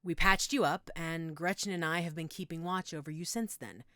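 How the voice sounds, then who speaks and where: A woman playing a middle-aged motherly healer in an audio roleplay, gentle, reassuring and coaxing. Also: on the low side, fairly even intonation, moderate pace, dry recording, clean studio sound. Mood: gentle, serious. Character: calm motherly werewolf-den healer named Judith, tending a patient.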